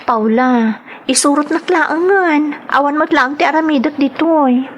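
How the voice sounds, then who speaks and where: Actress as a trusting young woman, high voice, slow hesitant delivery, ilocano accent, radio drama. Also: muffled recording, slight background noise. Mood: puzzled, pleading. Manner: hesitant, questioning, plaintive. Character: naive, trusting young woman newly arrived seeking work. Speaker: voice actor in a radio-style drama. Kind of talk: Ilocano audio drama acting.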